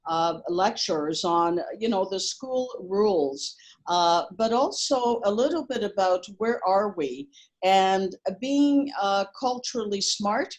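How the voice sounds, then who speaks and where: A woman school principal, from Portugal, answers interview questions in an earnest, steady way. Her voice sits at an ordinary pitch, and her talk is dotted with frequent ums and uhs.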